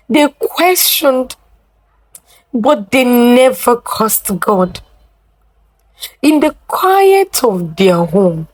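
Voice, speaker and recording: A woman telling a folktale in a dramatic, deliberate, emotive way with drawn-out pauses. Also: mid-range voice, a little mellow, poor audio. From Nigeria. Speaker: storyteller. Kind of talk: dramatic oral folktale narration.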